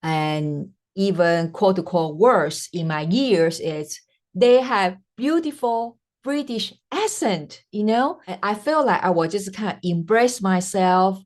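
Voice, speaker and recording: A woman from Taiwan, a native Mandarin speaker with a Taiwanese Mandarin accent, hosting her podcast and telling her own story earnestly, with frequent "uh" pauses. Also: mid-range voice, lively intonation. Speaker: podcast host. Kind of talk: personal storytelling monologue.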